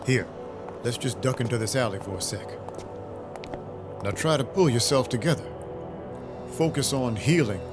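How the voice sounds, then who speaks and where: A man's voice: medium pitch, normal speed, pauses often, close to an everyday voice. A man playing a dry, brooding, world-weary vampire detective with terse noir delivery in an audio drama. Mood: exasperated, protective. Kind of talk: first-person noir narration, audio drama acting. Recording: loud music behind the voice.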